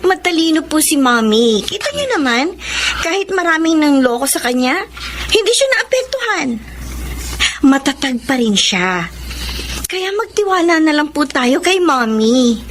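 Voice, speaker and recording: An actress playing a sweet, loyal young adult daughter in a Filipino radio drama, speaking earnestly with a Filipino accent. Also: female voice, sits high, unhurried, background noise. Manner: expressive, earnest.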